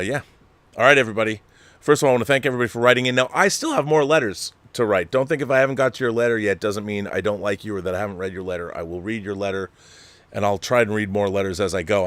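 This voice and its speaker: A man's voice: on the low side, a bit bright, no echo. An American man in his fifties hosting a casual, rambling livestream chat, speaking emphatically and insistently and often repeating phrases. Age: 50s. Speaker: online show host and film producer.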